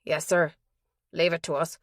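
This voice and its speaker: A woman narrator voicing a crude male henchman who reports roughly and defers to his boss. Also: deep voice, flat delivery, moderate pace, no room sound, clean studio sound. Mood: deferential. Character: crude male henchman, blunt hired thug.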